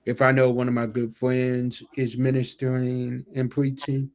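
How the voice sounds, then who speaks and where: A man's voice: medium pitch, monotone, measured pace, a little mellow. A man hosting an interview, a preacher telling anecdotes in an informal, colloquial way with words repeated for emphasis. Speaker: podcast host, preacher. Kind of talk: casual chat, anecdotal storytelling.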